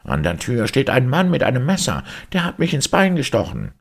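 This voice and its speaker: A man's voice: medium pitch, huge pitch swings, fairly quick, vocal fry, pitched above the performer's own voice, heavily acted. A man voicing a frightened robber babbling his tale in a storybook reading. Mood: panicked. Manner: breathless, panicked telling. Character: frightened fleeing male robber.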